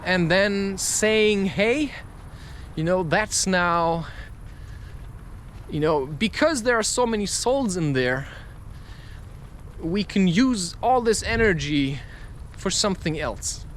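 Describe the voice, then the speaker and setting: A man's voice: sits high, expressive, slow, pauses often, fairly bright tone, slight background noise. A man rambling through a spontaneous, musing monologue, loosely putting rhetorical questions as he goes. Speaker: podcast host.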